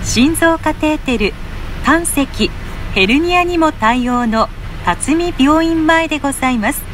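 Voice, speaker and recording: Very high-pitched woman reading bus adverts. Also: poor audio. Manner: polite, formal delivery. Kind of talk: scripted advertising announcement. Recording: faint vehicle noise behind.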